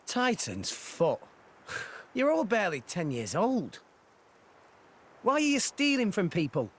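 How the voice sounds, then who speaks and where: Earnest young game hero kid, high voice, really animated. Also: male voice, slow, slightly rough, exaggerated voice. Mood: exasperated. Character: plucky working teenage boy, earnest, fair-minded young hero. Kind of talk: video game cutscene acting.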